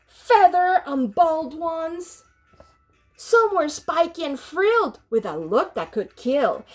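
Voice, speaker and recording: Very high, animated woman reading to children. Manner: dramatic, switches between English and Spanish, explains to children. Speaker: storybook reader, teacher. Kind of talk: animated children's read-aloud.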